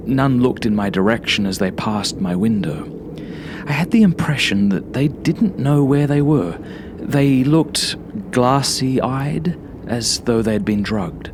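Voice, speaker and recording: Guy reading a horror audiobook, lowish voice, dramatic n suspenseful, some bg noise. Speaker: story narrator. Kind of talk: first-person horror audiobook narration.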